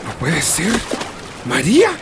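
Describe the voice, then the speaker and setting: A man's voice: fairly high pitch, wildly swooping pitch, slow pace, creaky, very noisy, full character voice. A man playing a brave, devoted young rescuer in a radio drama. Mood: shouting, desperate.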